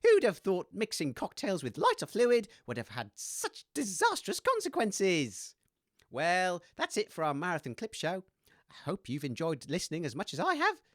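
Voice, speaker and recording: Woman voicing an excitable, swooping, chirpy radio DJ. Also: lowish voice, moderate pace, dry recording, studio quality. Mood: jolly presenting. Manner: hesitant, giggly, theatrical. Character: excitable, chirpy, oblivious radio DJ named Dave. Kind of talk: comedy audio drama, mock radio presenting.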